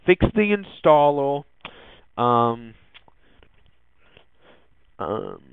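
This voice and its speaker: A man hosts a casual tech podcast at an unhurried, halting pace, often pausing on ums and restarting phrases. His voice is smooth and clear of rasp. The sound is dry, narrow and muffled, like a phone call. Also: medium pitch, no room sound. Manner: hesitant, halting, frequent ums and false starts. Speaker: podcast host.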